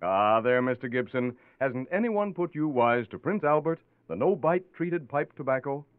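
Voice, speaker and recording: An American man pitching a product in 1940s radio commercial style. He is highish and very animated, recorded dry with a narrow, tinny sound. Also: moderate pace, clear, resonant tone, no room sound. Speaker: advertising announcer.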